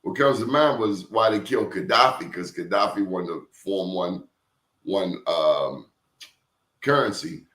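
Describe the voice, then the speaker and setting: A man's voice: low-pitched, unhurried, fairly bright tone, echoey room. A man hosting an interview show, telling stories in an emphatic, preachy way. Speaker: show host. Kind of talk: casual interview talk.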